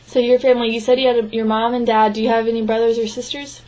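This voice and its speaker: A woman gives a spoken interpretation of a signed interview. Her voice sits a little high and stays flat and level. She talks in an even, measured way and often breaks off and restarts. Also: rough recording. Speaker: sign language interpreter voicing a deaf man's answers.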